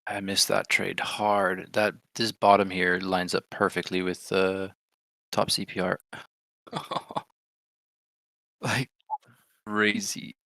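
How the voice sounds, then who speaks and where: Male stream host, dry close sound, raspy-edged and punchy, excitable live market commentary. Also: deep voice, slow pace, pauses often, dry recording. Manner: chatty, excitable, thinks aloud.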